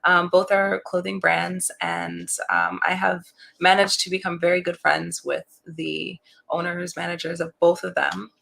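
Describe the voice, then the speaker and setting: A woman guest in a casual interview, talking about her work with a relaxing voice and an animated, laughing way of telling stories. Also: fairly low pitch, unhurried, creaky. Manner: warm, animated storyteller who laughs often. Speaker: interview guest, voice actor and creative director.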